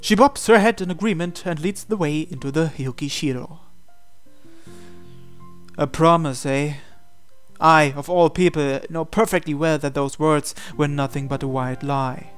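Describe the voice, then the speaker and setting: Man reading a story aloud, higher-pitched voice. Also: a little slow, smooth, soft background music. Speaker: player reading a visual novel aloud. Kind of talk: dramatic read-aloud narration of a visual novel.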